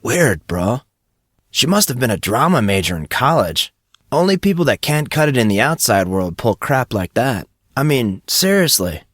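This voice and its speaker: A man's voice: medium pitch, big pitch swings, moderate pace, fairly bright tone, no echo, studio quality. A man voicing a brash, movie-mad teenage schoolboy in an audiobook, casual and teasing, with slangy talk. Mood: scornful. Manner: slangy, says "bro" often.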